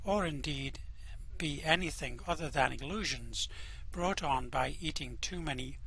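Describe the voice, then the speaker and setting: Dry wry guy narrating an audio drama, unhurried, bright voice. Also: a little high. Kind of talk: reading a radio-play narration aloud.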